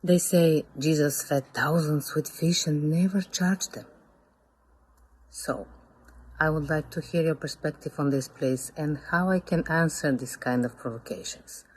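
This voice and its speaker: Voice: mid-range voice, a little slow, husky, rough recording. An audience member from Bulgaria, politely reading out a prepared question.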